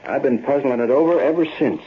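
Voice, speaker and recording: An actor playing a trusting, plain-spoken miner husband with folksy Western speech in an old radio drama. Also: male voice, medium pitch, fast, close to an everyday voice. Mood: earnest. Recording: dull, hissy old radio recording.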